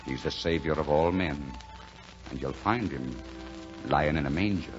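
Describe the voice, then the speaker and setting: A man's voice: very deep voice, a little slow. An actor playing a plain-spoken, reassuring American man telling a Christmas story in 1940s radio drama style. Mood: gentle, reverent. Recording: dull 1940s radio broadcast, music behind.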